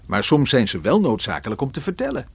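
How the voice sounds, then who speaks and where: An actor playing an eager, curious gentleman in a radio play, pressing for more of the story. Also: mid-range voice, fast talker, gravelly, slightly rough, full character voice. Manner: pressing, lively.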